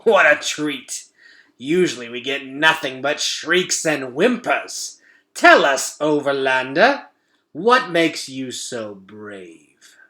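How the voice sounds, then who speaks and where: A man's voice: mid-range voice, big pitch swings, slow pace, pushed and bright, full character voice. A man reading a children's storybook, voicing a hungry, haggling six-foot rat with emphatic, archaic, mock-polite speech. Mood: amused, taunting. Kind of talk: children's storybook read-aloud.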